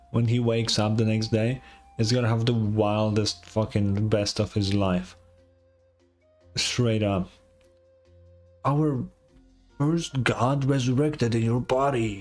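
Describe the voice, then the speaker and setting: A man's voice: medium pitch, a little mellow. A man streaming a game, giving offhand, sweary reaction commentary.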